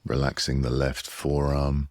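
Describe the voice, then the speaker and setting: An extremely low-pitched man guides a relaxation meditation. His delivery is soothing and unhurried, with long pauses between phrases and very little rise or fall in pitch. The recording is close and dry, with no room sound. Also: monotone, darkish tone, no echo.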